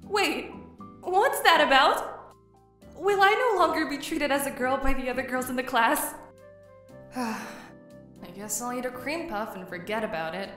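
A woman's voice: sits high, expressive, unhurried, long pauses, fairly bright tone. A young woman acting an earnest tomboyish teenage girl in a manga fandub, with inner monologue. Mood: startled, then weary, reflective. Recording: roomy voice with music behind.

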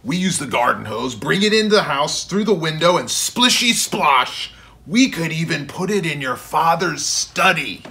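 A man's voice: a little high, big pitch swings, moderate pace, vocal fry, sharp tone, heavily acted. A man voicing a big, playful, easygoing imaginary friend with a breezy, enthusiastic manner in a storybook. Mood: excited.

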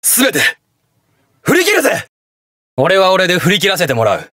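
Game hero: stern young police detective in armor, gruff and defiant, very animated pitch, dry recording. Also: male voice, normal speed, no echo, exaggerated voice. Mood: furious. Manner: gruff, terse, defiant delivery. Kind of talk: video game battle voice lines in Japanese hero-show acting.

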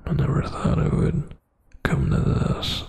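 A man performing intimate boyfriend ASMR as a huge, dominant, teasing roommate, in a breathy, gravelly half-whisper close to the mic. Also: a little mellow, no room sound. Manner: slow, teasing, dominant murmur. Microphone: close microphone.

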